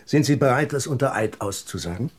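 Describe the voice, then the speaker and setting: An actor in a German radio play voicing a shrewd, relentless police detective with a formal, insistent manner. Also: male voice, medium pitch, expressive, a little fast, lightly acted. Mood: cool challenge.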